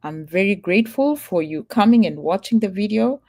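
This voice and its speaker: A woman's voice: medium pitch, animated, normal speed, a little mellow. A woman from Kenya talking casually to her livestream viewers, earnest and emphatic, repeating her warnings and laughing easily. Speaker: vlogger.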